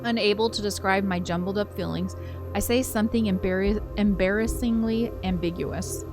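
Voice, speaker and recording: Woman narrator, visual novel read-aloud, expressive storytelling, mid-pitched voice, music playing behind. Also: moderate pace. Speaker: let's play narrator reading a visual novel. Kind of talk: live read-aloud of a story game, with casual asides. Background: game soundtrack music.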